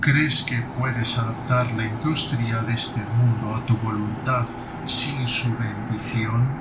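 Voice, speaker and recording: A man voicing a slow, doubting old-guard warrior. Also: medium pitch, fairly even intonation, noisy background, natural voice. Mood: doubtful. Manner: slow, wary and questioning. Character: skeptical veteran male Iron Warrior.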